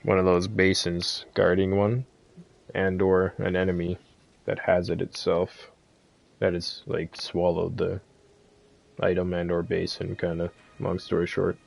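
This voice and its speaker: A man's voice: sits low, completely flat delivery, measured pace, creaky. A man giving a casual, laid-back, rambling walkthrough of a video game as a streamer.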